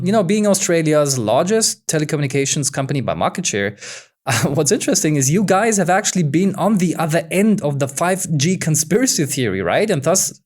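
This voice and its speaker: A man hosting a podcast interview, asking upbeat questions with many fillers. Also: on the high side. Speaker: podcast host.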